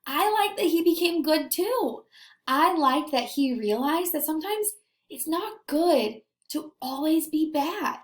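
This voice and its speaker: A woman teaching young children in a read-aloud lesson, animated and expressive as she puts questions to them. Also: high voice, measured pace, echoey room. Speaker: elementary school teacher.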